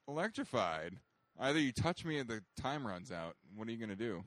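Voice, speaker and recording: Deep-voiced man, teasing and laughing. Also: big pitch swings, fairly quick, a bit bright, no echo. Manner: laughs easily, teasing banter. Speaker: co-host. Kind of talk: casual gameplay commentary. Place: indoors, playing video games.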